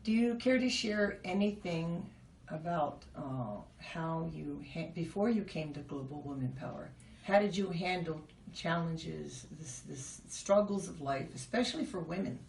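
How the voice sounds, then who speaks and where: A woman's voice: deep voice, animated, unhurried, vocal fry, darkish tone. A woman hosting a casual interview, asking curious, chatty questions. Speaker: host. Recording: echoey, from a distance, faint noise.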